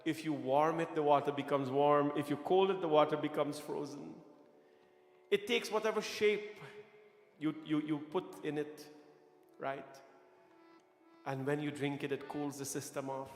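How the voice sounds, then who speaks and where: A man's voice: fairly high pitch, steady tone, measured pace, pauses often, faint music behind. A middle-aged man from Guyana with a Guyanese accent, giving an earnest temple sermon with repeated phrases and questions to the congregation. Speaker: temple pastor. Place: temple hall. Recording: echoey hall reverb.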